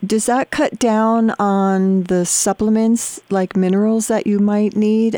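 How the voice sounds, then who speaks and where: Woman host with a dark, dry voice. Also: mid-range voice, no room sound. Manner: scripted introductions, curious questions. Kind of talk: interview.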